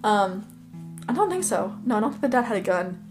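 A woman's voice: mid-range voice, brisk, soft background music. Chatty young woman retelling a story. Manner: excitable storyteller, full of likes and laughs. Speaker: podcast co-host. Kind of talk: casual chat.

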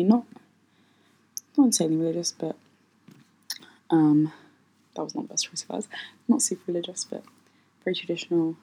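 Low husky-voiced woman hosting a podcast. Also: warm tone, low-quality recording. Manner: hesitant, many ums, light laughs. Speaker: podcast host. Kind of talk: unscripted solo podcast chat.

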